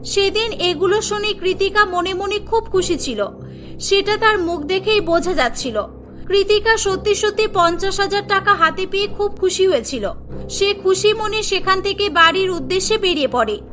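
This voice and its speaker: A woman's voice: very high voice, expressive, moderate pace, fairly bright tone, soft background music. A woman narrating a scripted cartoon story.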